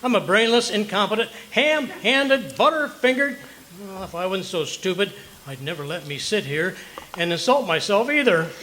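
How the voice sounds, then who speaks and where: A man's voice: high-pitched, animated, normal speed, bright, forceful tone, rough recording. A man playing a bumbling, self-mocking but good-natured husband in an old-time radio comedy, blustering and easily flustered. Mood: self-disgusted. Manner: blustering, rattles off lists, flustered stammers. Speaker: amateur radio comedy actor. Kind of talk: old-time radio comedy acting before a live audience. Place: live performance at an RV resort. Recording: live stage recording, background noise.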